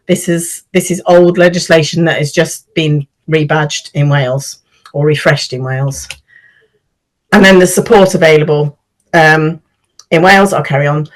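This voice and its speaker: A woman's voice: low voice, moderate pace, low-quality recording. A woman presenting in an online webinar over a video call, explaining things in a matter-of-fact way. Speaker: webinar speaker.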